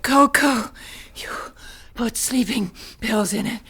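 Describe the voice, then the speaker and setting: Husky, high-voiced dying wife. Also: female voice, fairly bright tone, heavily acted. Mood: dazed, horrified. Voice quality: breathy, weakened, husky. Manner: halting, drawn-out, melodramatic. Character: drugged, dying wealthy wife, fearful and vengeful. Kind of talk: radio mystery acting.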